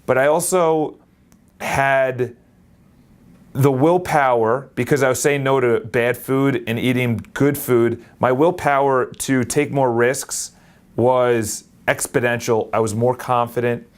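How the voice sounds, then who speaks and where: A man in his late twenties talking casually into his phone camera, energetic and rambling, often restarting his sentences. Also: mid-range voice, slow pace, smooth. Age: 20s. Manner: energetic, rambling, self-correcting. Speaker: vlogger.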